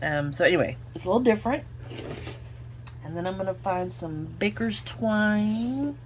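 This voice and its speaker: A woman's voice: medium pitch, lively intonation, normal speed, a little hiss or hum. A woman with an Oklahoma accent, chatting her way through a crafting tutorial and thinking aloud as she works. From Oklahoma, United States. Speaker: craft video host.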